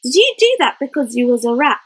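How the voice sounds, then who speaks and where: A woman's voice: high voice, very animated, brisk, a bit bright, husky, pitched above the performer's own voice, full character voice. A woman voicing a kindly, patient old man with a homely, colloquial, peaceable way of talking, in a storybook reading. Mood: calm, instructive. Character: kindly, patient old man, plain-spoken working-class husband.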